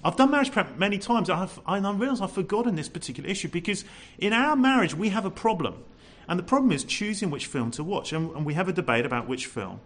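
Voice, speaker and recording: A man preaching a sermon to a congregation, animated and full of rhetorical questions. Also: high-pitched, quick pace, few pauses. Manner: says he has a loud voice.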